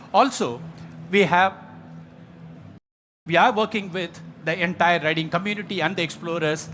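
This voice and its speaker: A man's voice: fairly high pitch, pushed and bright, a little hiss or hum. A man giving a prepared launch speech as a company executive at a press conference.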